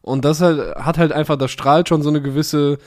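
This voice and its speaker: A man's voice: on the high side, fairly even intonation, moderate pace. A man co-hosting a casual music podcast, joking and rambling with many fillers. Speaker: podcast co-host. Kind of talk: casual music review chat.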